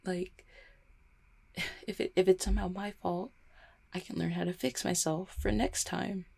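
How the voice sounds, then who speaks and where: A woman's voice: on the low side, fairly even intonation, dark tone, full character voice. A woman acting a guarded, heartbroken small-town mail carrier in an audio roleplay, stammering and blaming herself. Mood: subdued, recovering. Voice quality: husky, creaky. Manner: halting, stammering, self-deprecating. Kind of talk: roleplay audio drama, intimate one-sided conversation.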